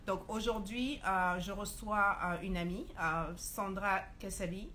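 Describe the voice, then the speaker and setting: A woman hosting a live video chat, speaking slowly and haltingly with many fillers. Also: medium pitch, fairly even intonation, bright, forceful tone, a little hiss or hum. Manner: slow, halting, heavy fillers. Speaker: host. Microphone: phone or video call.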